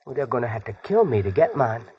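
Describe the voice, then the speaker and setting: An actor playing an eager, trusting, hot-headed young gold prospector in an old-time radio western, talking in earnest country grammar. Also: male voice, medium pitch, big pitch swings, quick pace. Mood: earnest, indignant. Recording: dull, noisy old radio recording.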